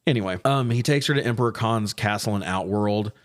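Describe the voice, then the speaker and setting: A man co-hosting a casual movie review, talking in a slangy, sweary, animated way with comic exasperation. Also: lowish voice, a little fast. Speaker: podcast co-host reviewing movies. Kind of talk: casual profane comedic movie recap chat.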